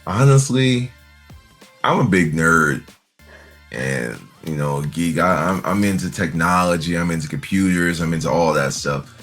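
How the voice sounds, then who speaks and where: A young man from Nigeria, guest in a relaxed interview, easygoing and talking with many fillers. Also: sits low, unhurried, soft background music.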